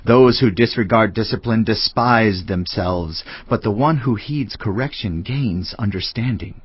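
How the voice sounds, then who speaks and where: A man giving a dramatised reading of scripture in a solemn, measured delivery. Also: on the low side, dry recording, highs cut off. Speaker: audio Bible reader. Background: clear background music.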